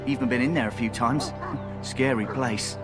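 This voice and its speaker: An actor playing a cheeky, wary rogue recruit in a fantasy game, with an informal, streetwise way of talking. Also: male voice, mid-range voice, moderate pace, close to an everyday voice. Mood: wry, wary. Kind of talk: video game dialogue. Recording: game music behind the voice.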